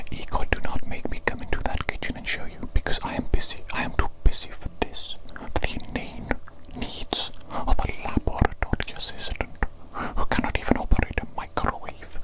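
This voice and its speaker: A woman's voice: moderate pace, natural voice, rough recording. A woman whispering an ASMR roleplay as a pompous, condescending evil doctor, close to the microphone. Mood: exasperated. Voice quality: whispering throughout. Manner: haughty, self-important, easily exasperated.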